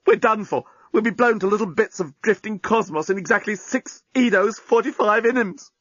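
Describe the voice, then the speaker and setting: A high, gasping, flustered alien journalist, put on by a male narrator; slightly muffled, dry recording. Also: lively intonation, moderate pace, a bit bright, no room sound, full character voice.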